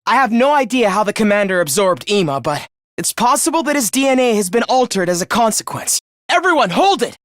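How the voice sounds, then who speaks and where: A man's voice: high voice, sing-song, brisk, no room sound, studio quality. A man playing a young student in a video game, earnest on the surface and a cold, misanthropic killer beneath, theatrical and articulate. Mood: earnest, concerned, then alarmed, urgent. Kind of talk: dramatic anime-style video game voice acting.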